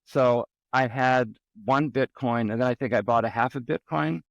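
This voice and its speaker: A man's voice: mid-range voice, moderate pace, gravelly, no room sound, lo-fi sound. A middle-aged man from the Boston area, a podcast guest telling personal stories in a casual, rambling way with many ums. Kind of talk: casual interview storytelling.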